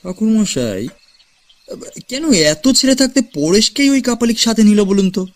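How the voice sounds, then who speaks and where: Man as inquisitive youngster, very high voice, animated intonation, audio drama. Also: a little slow. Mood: curious. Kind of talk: dramatic audio story acting.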